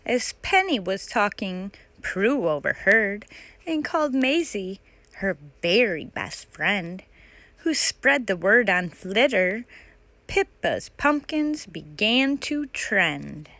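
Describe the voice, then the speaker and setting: A woman's voice: mid-range voice, sing-song, takes it slowly, sharp tone, no echo. A woman narrating a children's picture book aloud as a storytime reader.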